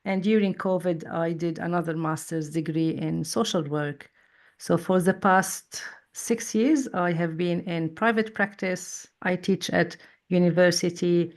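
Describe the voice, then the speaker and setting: A woman speaks slowly and deliberately. Her voice sits a little low, and she weighs her words as a thoughtful interview guest. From Lebanon. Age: 40s. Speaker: psychologist guest. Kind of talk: interview conversation.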